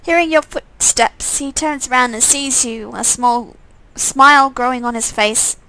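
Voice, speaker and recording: Woman game streamer, high bright voice, expressive storytelling with casual asides. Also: a little slow, poor audio. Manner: expressive, breaks off to comment casually. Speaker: streamer reading a visual novel game aloud. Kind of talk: dramatic narration with off-the-cuff asides.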